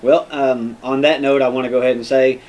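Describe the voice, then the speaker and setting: A man with an American accent hosting a casual interview as a police sergeant, in an informal, halting way. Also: mid-range voice, steady tone, a little mellow. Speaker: podcast host, police sergeant. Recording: noticeable background noise.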